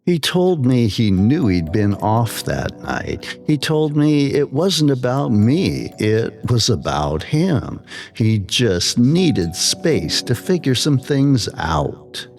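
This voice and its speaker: A man narrating a scripted slow-burn horror story in a measured way with dramatic pauses. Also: mid-range voice, sing-song, a little mellow, faint music behind.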